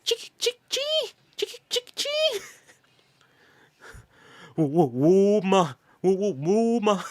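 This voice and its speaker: A man voicing a chorus of forest insects in shrill, squeaky chirps for a story game. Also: wildly swooping pitch, slow pace, exaggerated voice. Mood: frantic chanting.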